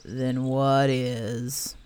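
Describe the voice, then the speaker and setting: A woman voicing a gloomy old grey male donkey in a storybook reading, speaking in a slow, sighing, mournful way. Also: extremely low pitch, flat delivery, a little mellow, clear, resonant tone, pitched below the performer's own voice, exaggerated voice.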